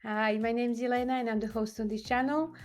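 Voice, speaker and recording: A woman from Serbia hosting her own channel, giving casual commentary with hesitant pauses, fillers and restarts. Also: a little high, fairly even intonation, over loud music. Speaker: host. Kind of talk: casual talking-head commentary.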